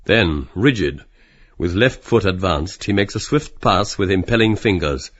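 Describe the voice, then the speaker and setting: A man's voice: sits low, expressive, measured pace, a bit bright, muffled recording. A man reading literary stage directions as scripted audiobook narration.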